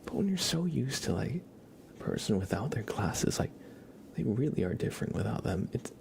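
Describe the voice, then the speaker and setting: A man chatting casually on an ASMR livestream in a soft, often whispered voice, relaxed and rambling, close to the microphone. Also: on the low side, normal speed, vocal fry, a little hiss or hum. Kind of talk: ASMR, casual chat with viewers.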